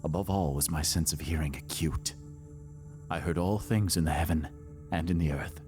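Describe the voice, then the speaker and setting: A man playing a nervous, boastful madman confessing a murder in a theatrical Gothic horror monologue, melodramatic and swinging between hushed and shrill delivery. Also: normal speed, over music. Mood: agitated, insistent.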